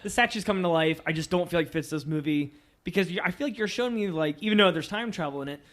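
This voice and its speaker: A man with a slightly high voice talks in a casual, conversational way as a podcast co-host. He sounds like he is discussing a film with friends, loose and informal. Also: racing, a bit bright.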